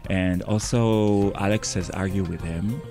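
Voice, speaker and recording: A man's voice: low-pitched, fairly even intonation, unhurried, over music. A man with an Israeli accent co-hosting a podcast in a casual, playful chat with small fillers. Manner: playful, chatty delivery with fillers. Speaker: podcast co-host.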